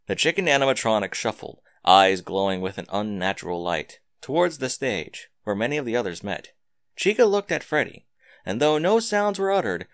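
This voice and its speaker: A man's voice: on the low side, fairly bright tone, no room sound. A man reading scripted fiction aloud as a dramatic narrator, close to the microphone.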